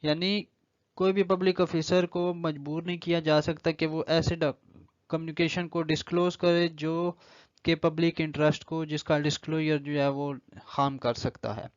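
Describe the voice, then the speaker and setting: A man with a Pakistani accent giving an explanatory law lecture, reading clauses aloud deliberately and then explaining them. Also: on the high side, level tone, no echo. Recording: slightly dull, lo-fi audio.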